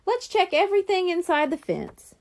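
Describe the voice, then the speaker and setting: Woman acting young boy, practical, skeptical, teasing, high animated questioning voice, children's storybook. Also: normal speed, full character voice.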